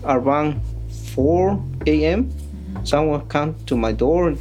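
A man from Oaxaca in Mexico, a Triqui speaker, answering interview questions as a community worker in plain, halting English. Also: fairly high pitch, darkish tone, soft background music. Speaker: community worker for a legal aid group.

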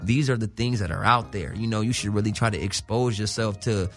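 A man's voice: on the low side, a little fast. A man chatting casually as a radio interview guest, emphatic and slangy, repeating himself and checking in with the listener. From South Central Los Angeles, California. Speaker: guest, music artist. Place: radio show studio.

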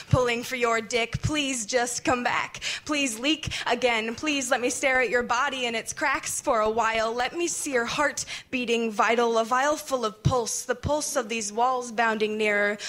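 A woman with a fairly high voice recites spoken word poetry. Her delivery is dramatic and intense, shaped as a performance and not as conversation. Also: a bit bright.

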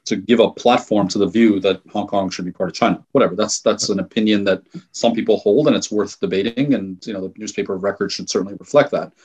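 A man from Iran talking as an interview guest, thoughtful and measured, often restarting and qualifying his words. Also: lowish voice, darkish tone, breathy edge. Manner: thoughtful, with frequent restarts and hedges. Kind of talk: conversational interview talk.

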